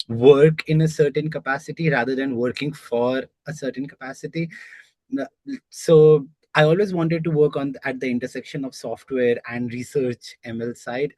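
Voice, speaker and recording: Young man, measured explaining, dry close sound, video call guest. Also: mid-range voice, a little mellow, dry recording. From Nepal. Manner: measured, explanatory, many "like" fillers. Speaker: guest, software engineer. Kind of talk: casual interview answers.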